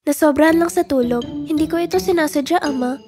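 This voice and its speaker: A woman playing a polite, sheltered young princess in an animated fairy tale. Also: high voice, fast, over music, close to an everyday voice. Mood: apologetic.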